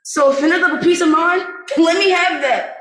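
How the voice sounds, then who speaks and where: A woman's voice: extremely high pitch, normal speed. A woman acting a restless young man in a theatre monologue, emphatic and rhythmic. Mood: yearning. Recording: echoey room.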